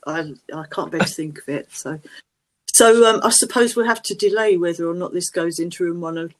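A woman's voice: on the low side, brisk. Teasing woman. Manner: dry, teasing, laughs through lines. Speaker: podcast co-host. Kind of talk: casual chat.

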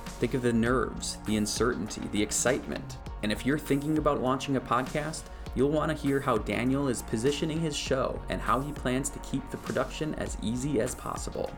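Male podcast host, mid-pitched, music bed underneath, stumbles and restarts questions. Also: brisk. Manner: restarts and repeats words in questions. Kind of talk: scripted intro then casual interview.

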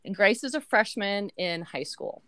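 Podcast host, woman, 40s, chatty and laughing, mid pitch, dry room. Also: lively intonation, moderate pace, bright, forceful tone, no room sound. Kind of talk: casual family chat. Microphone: remote call.

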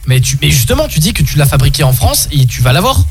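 A man's voice: on the high side, very animated, fast talker, vocal fry, faint music behind. A young man co-hosting a casual chat, reacting with surprise and lots of interjections. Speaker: co-host.

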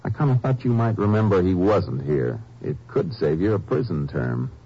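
An actor playing a steady lawman in a 1950s radio drama, calmly and methodically questioning suspects. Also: low voice, normal speed, husky, exaggerated voice. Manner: calm, dry, methodical questioning. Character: steady, calm male Texas Ranger investigator. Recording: muffled old radio broadcast with hiss.

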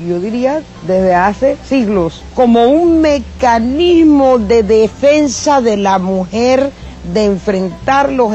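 A woman's voice: medium pitch, mellow. A woman giving her opinion firmly and emphatically in a spontaneous interview manner. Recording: dull lo-fi archive recording.

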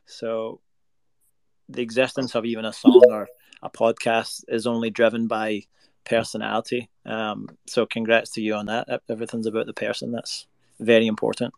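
Scottish man, gravelly, flat delivery, dry close sound, reflective rambling chat. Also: on the low side, moderate pace, no room sound. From Scotland. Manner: earnest, reflective, rambling with fillers. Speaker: guest singer-songwriter. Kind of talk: casual interview chat. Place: home recording space in Nashville.

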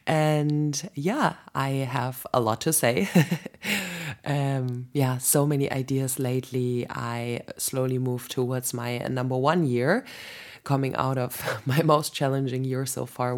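A woman hosting a podcast, talking in a chatty, loose way with a raspy voice from a sore throat, often laughing and filling pauses with "um". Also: very deep voice, fairly even intonation, a little mellow. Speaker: podcast host. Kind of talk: casual spoken podcast monologue.

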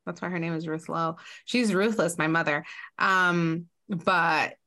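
A woman co-hosting a podcast in casual chat, talking in rambling, animated bursts. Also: fairly low pitch, unhurried. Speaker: podcast co-host.

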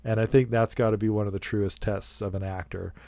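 A man in his late forties talks through a film on a podcast, his voice sitting low. He rambles, restarts sentences and drops little laughing asides. The sound is close and dry with no room around it. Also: fairly even intonation, fairly quick, vocal fry, warm tone, no room sound. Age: 40s. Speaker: podcast co-host. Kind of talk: casual movie commentary chat.

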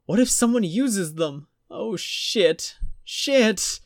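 A male narrator putting on a panicky young woman in an audiobook. The voice is high and strained, with frequent swearing and a fretful edge. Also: expressive, slow pace, no room sound, studio quality, exaggerated voice. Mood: panicked.

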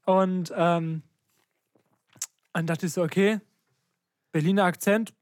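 A man's voice: sits high, fairly even intonation, takes it slowly, clean tone, no echo. A young man co-hosting a podcast, telling stories in casual slangy speech and acting out the dialogue. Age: young adult. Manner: slangy, colloquial, acts out quoted dialogue. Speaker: podcast co-host. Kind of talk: casual chat, animated storytelling.

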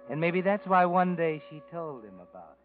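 A man's voice: on the high side, very animated, normal speed. A man narrating an old radio drama in a knowing, probing second-person voice. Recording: tinny old radio broadcast with music.

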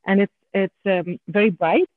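A woman with a French Canadian accent, a podcast guest chatting in a halting way with many restarts. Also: medium pitch. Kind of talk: casual podcast chat. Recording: tinny phone-line audio.

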